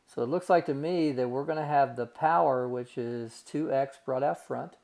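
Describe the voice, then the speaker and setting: A man's voice: mid-range voice, normal speed, vocal fry, a little mellow. A man teaching a recorded math lesson, working through calculations step by step in a folksy way and calling his students guys and gang.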